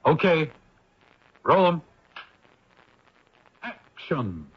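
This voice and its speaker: Husky, brusque film director. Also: male voice, fairly high pitch, sing-song, drawn-out words, full character voice. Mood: commanding. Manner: brusque, commanding, impatient. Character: harried, exasperated male film director. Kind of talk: old-time radio drama acting. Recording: muffled old radio recording.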